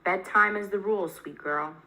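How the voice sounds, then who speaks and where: A woman's voice: medium pitch, moderate pace, gravelly, pushed and bright, rough recording. A woman reading a children's picture book aloud as a storyteller.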